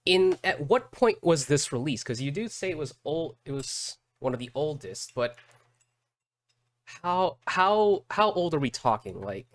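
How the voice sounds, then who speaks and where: A man doing casual live reaction commentary as a streamer, halting and repeating words as he thinks aloud. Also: fairly high pitch, very animated, dry recording. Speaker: streamer reacting to game videos.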